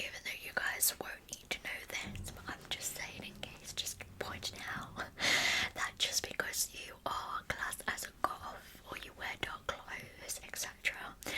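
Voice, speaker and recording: Female ASMR storyteller, pure breathy whisper, slow delivery, soft and deliberate. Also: low-quality recording. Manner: soft, deliberate narration with chatty asides. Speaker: ASMR true crime host. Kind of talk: whispered ASMR storytelling of a true crime case.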